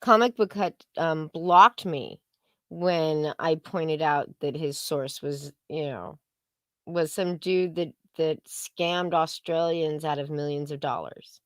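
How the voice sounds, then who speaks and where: A woman's voice: deep voice, slow, dry recording, studio quality. A woman co-hosting a livestream, talking casually and opinionatedly in an informal, matter-of-fact way. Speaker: co-host of a livestream.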